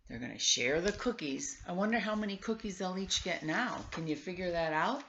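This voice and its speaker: A woman's voice: on the low side, lively intonation, fairly quick, vocal fry, a bit bright, lo-fi sound, slight background noise. A woman reading a storybook aloud to children, coaxing them with questions and exclaiming in conversational asides. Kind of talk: read-aloud storytelling with questions to young listeners.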